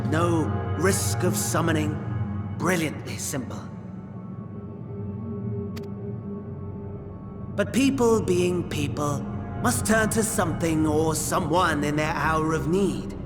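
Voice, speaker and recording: An actor playing a gleeful, mockingly courteous villain in a video game, pompous and theatrical in delivery. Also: male voice, fairly high pitch. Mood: smug, sardonic. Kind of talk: dramatic video game cutscene acting. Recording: loud music behind the voice.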